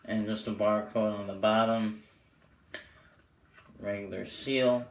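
Young male reviewer, low-pitched and hoarse, casual rambling delivery, echoey small-room camera audio. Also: fairly even intonation, slow pace. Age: young adult. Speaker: host of playing card deck reviews. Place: small bedroom, indoors. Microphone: camera mic a short way off. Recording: roomy echo, clear background noise.

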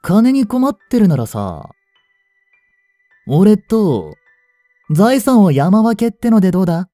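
A man's voice: sits high, big pitch swings, takes it slowly, warm tone, dry recording, studio quality, faint music behind, full character voice. Man acting a cocky, swooping young kidnapper. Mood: cocky, scheming. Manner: brash, taunting, casual rough speech. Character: cocky, money-hungry young male kidnapper, teasing and bossy. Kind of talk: Japanese romance audio drama acting.

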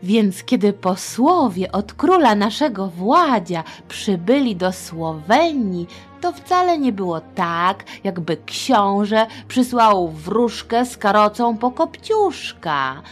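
A woman hosting a children's history podcast, telling the story in a playful, chatty, expressive manner. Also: medium pitch, slow pace, husky, soft background music.

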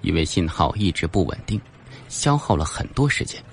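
A man's voice: deep voice, sing-song, normal speed, creaky, faint music behind. A man reading a scripted suspense novel as an audiobook narrator, with a dramatic storytelling delivery.

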